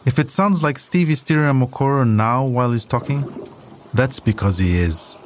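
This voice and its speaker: A man's voice: mid-range voice, moderate pace, slight background noise. A man hosting a podcast, reading scripted documentary narration as a storyteller. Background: music.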